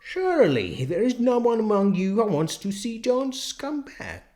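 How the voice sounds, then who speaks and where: A man's voice: sits high, huge pitch swings, measured pace, a little mellow, voice pushed up, full character voice. A man doing Squealer, a wheedling pig. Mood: pleading. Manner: wheedling, almost pleading. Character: glib persuasive male pig propagandist. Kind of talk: audiobook radio drama reading. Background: music.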